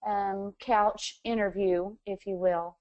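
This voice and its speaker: A woman from Texas gives interview answers in a conversational way. Her voice sits at a middle pitch and has a relaxed, warm tone. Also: takes it slowly, dull audio. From Texas, USA. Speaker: interview guest, former association president.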